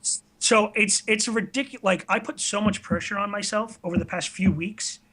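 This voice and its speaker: A man in his late teens with a high, bright voice talks as a guest in a casual interview. He is candid and self-reflective, often hesitating as he works out what to say. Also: moderate pace, breathy edge, rough recording. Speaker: interview guest, online video creator. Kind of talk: casual chat.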